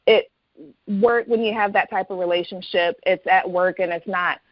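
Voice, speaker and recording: A woman's voice: fairly low pitch, steady tone, moderate pace, dry recording, lo-fi sound. A woman speaking as a guest author in a conversational, reflective way. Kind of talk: reading aloud from own novel, then interview chat.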